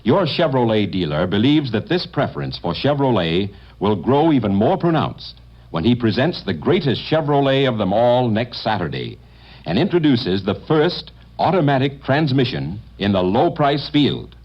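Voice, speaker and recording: A man's voice: medium pitch, big pitch swings, normal speed. A man reading a formal sponsor announcement as a radio announcer, with a polished, emphatic delivery. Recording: dull lo-fi 1950 radio broadcast.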